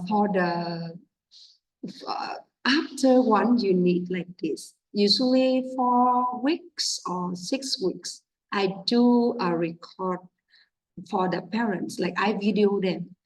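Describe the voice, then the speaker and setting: Slow-talking husky woman teacher. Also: mid-range voice. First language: Vietnamese. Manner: halting, many fillers, non-native English. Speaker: English teacher training other teachers. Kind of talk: informal teaching guidance. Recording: distant from the mic.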